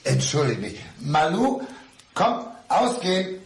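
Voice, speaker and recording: Intense, falsely calm man, lively intonation. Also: on the high side, slow, faint music behind, exaggerated voice. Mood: polite. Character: intense supposedly blind man, falsely calm, clinging to his ex. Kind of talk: radio crime drama acting.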